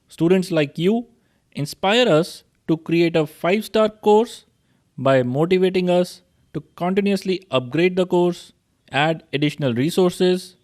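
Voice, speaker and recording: A young man with an Indian accent, narrating an online course tutorial and speaking straight to students in a steady explanatory way. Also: on the high side. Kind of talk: screen-recorded tutorial narration.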